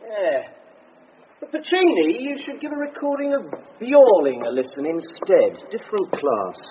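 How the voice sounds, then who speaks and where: A man's voice: high-pitched, sing-song, measured pace. An actor playing a cultured, gloomy British man in a radio drama, dry and superior. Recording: dull radio sound, faint noise.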